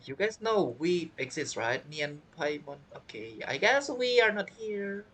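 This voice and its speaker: Animated, teasing game streamer. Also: medium pitch, measured pace, a little hiss or hum. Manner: teasing, joking asides, non-native phrasing. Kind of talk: casual game playthrough commentary. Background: video game music.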